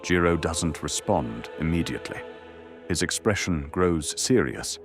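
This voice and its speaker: A man delivering dramatic scripted narration for an audio drama. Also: sits low. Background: faint music.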